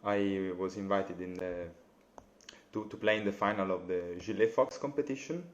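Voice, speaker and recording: A young man with an Italian accent, hosting a live interview over a video call, friendly and halting, with many fillers. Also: deep voice, a little hiss or hum. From Italy. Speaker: interviewer, bassoonist. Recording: dull lo-fi video call audio.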